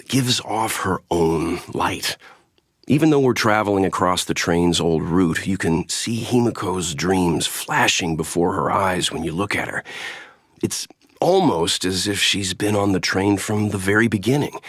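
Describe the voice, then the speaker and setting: An actor playing a calm, kindly, seasoned space traveler and mentor in a video game, speaking in a measured, reflective way. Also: male voice, deep voice, husky, no echo, studio quality, exaggerated voice. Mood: fond, reassuring. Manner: measured, reflective, gently avuncular. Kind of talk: scripted game character voice lines.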